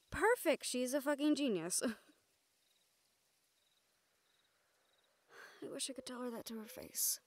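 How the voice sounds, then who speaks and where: A woman playing an excitable, caring young mage in an intimate audio roleplay, with animated, halting delivery. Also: fairly high pitch, no echo, natural voice. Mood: delighted, proud. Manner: animated, halting, swearing freely.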